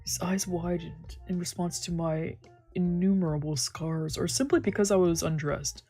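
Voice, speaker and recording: A woman's voice: on the low side, steady tone, normal speed, dark tone, faint music behind. A woman reading scripted narration as a visual novel streamer.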